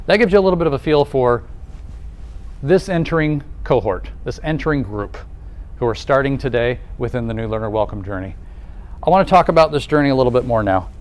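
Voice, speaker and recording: Male presenter, mid-pitched, lively conversational delivery with rhetorical questions, live audience in the room. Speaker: presenter at a nursing college welcome event.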